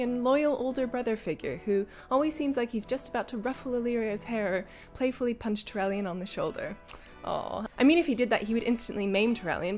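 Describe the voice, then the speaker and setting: A woman co-hosting a gaming news show, giving casual, playful commentary with teasing, deadpan asides. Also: on the high side.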